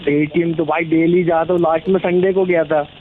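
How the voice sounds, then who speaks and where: A man heard over a tinny phone line with a faint hiss underneath. He answers in a high voice, blunt and sarcastic. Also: level tone, a little fast. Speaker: prank call target.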